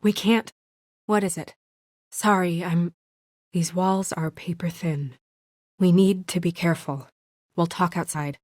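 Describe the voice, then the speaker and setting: An actress playing a brisk, exasperated, dutiful young professional woman in a video game, speaking in a crisp, earnest, businesslike way. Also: fairly low pitch, fairly even intonation, a little mellow, no room sound, studio quality, close to an everyday voice. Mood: hurried, tense.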